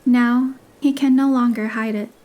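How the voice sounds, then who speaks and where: A woman's voice: a little high, steady tone, moderate pace, a little mellow. A woman reading scripted narration for a romance story, with guitar music behind her.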